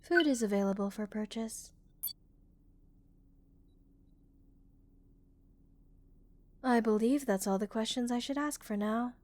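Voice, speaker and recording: A woman voicing a curious, calm female alien scientist in a relaxing ASMR roleplay, speaking in a measured, clinical and gently reassuring way. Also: on the high side, lightly acted.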